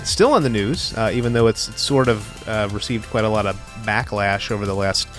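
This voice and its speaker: A man's voice: lowish voice, fairly quick, faint music behind. A man hosting an interview podcast in a studio, chatty and upbeat with filler uhs. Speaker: podcast host.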